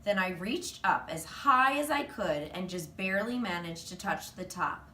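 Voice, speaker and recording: A woman reading a children's story aloud in an animated, expressive storytelling manner. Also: on the low side, moderate pace. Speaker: reader, teacher. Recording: roomy, distant mic, faint noise.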